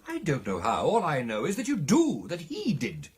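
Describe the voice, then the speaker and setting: An actor playing an excitable British gentleman recounting a ghostly encounter in a radio drama, with theatrical, animated delivery. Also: fairly high pitch, normal speed, husky, exaggerated voice. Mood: exasperated, animated. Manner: theatrical, animated storytelling with exclamations and hesitations.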